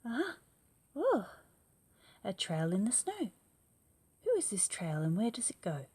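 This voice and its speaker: A woman voicing a small, curious and brave young female monster in a storybook read-aloud, high and exclaiming. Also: big pitch swings, takes it slowly, dark tone, heavily acted. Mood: excited.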